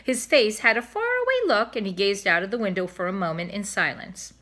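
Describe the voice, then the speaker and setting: A woman reading a children's storybook aloud in an expressive way, as a teacher would. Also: mid-range voice, brisk.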